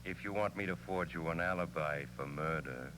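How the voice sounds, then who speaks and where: A menacing crook from a vintage radio thriller. The voice is very deep with a sharp edge, tough and clipped. There is faint noise on the old recording. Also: male voice, normal speed, low-quality recording. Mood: coolly threatening. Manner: tough, clipped gangster talk. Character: cold, menacing male crook and blackmailer. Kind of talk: 1940s radio crime drama acting.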